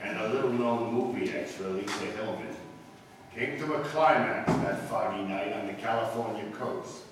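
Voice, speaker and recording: A man performing an ominous, taunting radio mystery narrator in a brooding, deliberate 1940s suspense style. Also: on the low side, lightly acted. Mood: grave. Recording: very echoey hall, distant mic, faint noise.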